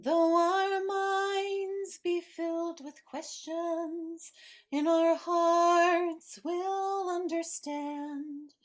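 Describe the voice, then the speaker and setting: A woman singing a slow, gentle song. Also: extremely high pitch, flat delivery, soft background music.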